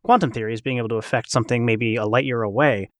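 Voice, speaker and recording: A man's voice: medium pitch, rapid-fire, no echo. Man, podcast host. Conversational and keen, wanders through questions, dry studio-tight sound. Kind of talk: casual chat.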